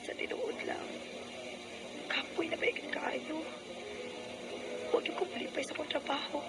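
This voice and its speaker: A very noisy radio drama recording carries a young Cebuano single mother. Her voice is high, earnest and emotive, that of a struggling but devoted woman. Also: normal speed. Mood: weary, resigned. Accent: Cebuano Filipino.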